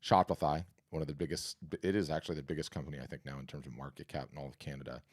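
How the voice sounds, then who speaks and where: A man hosting a live art business workshop and answering questions, talking in an energetic, conversational, salesy way with a scratchy, hoarse voice. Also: extremely low pitch, very fast. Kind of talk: live webinar talk and Q&A.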